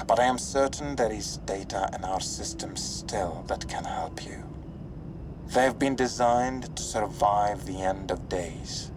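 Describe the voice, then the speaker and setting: An actor playing a dry, sardonic veteran operative giving a mission briefing in a video game, wry and understated. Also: male voice, fairly low pitch, background noise. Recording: music playing behind the voice.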